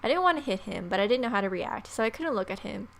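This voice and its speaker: A woman's voice: medium pitch, animated, fast talker, gravelly, slight background noise. A woman in her twenties reading visual novel text aloud with casual, joking asides, recorded at home. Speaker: gaming video creator. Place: small room at home, indoors.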